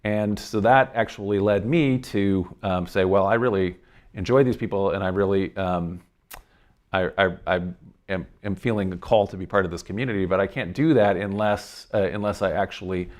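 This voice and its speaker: A man reflecting casually in a podcast discussion as a lecturer, speaking in a thoughtful, articulate but halting way. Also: low voice. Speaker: lecturer answering audience questions.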